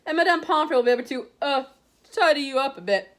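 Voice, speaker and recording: A woman voicing a cheery, vain male teacher in a bright, breezy tone. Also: high voice, lively intonation, fast talker, pitched above the performer's own voice.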